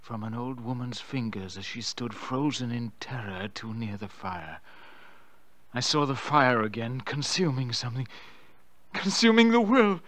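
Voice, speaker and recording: An actor playing a scheming, nervy murderous nephew in a radio drama, agitated and prone to stammering outbursts. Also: male voice, medium pitch, expressive, measured pace, fairly bright tone, no echo. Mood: haunted.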